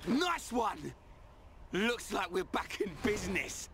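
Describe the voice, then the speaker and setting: A man's voice: sits high, big pitch swings, slow, slight background noise, exaggerated voice. A man with a British accent playing a hot-headed, loyal young fighter in a video game, blunt and defiant. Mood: excited, shocked. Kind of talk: video game cutscene acting. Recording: loud music under the dialogue.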